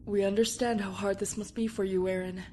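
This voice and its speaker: Composed female military officer, acted, middle pitch, understanding, anime parody drama, faint ambience. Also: little pitch movement, fairly quick, a little mellow, a little hiss or hum, natural voice. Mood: reassuring. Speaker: admiral. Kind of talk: sci-fi anime parody acting.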